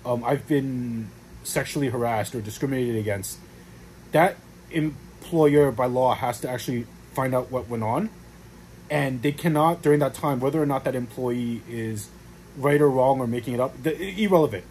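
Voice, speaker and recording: A man's voice: mid-range voice, moderate pace, a little mellow, a little hiss or hum, rough recording. A young man casually explaining workplace rules to camera from a small room at home, with many fillers and restarts. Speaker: vlogger explaining workplace law.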